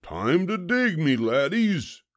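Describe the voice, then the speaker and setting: A man voicing a loud, gruff, blustering pirate captain from a storybook, with theatrical hollering. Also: fairly high pitch, sing-song, takes it slowly, pitched above the performer's own voice. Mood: hearty, commanding. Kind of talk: storybook character voice.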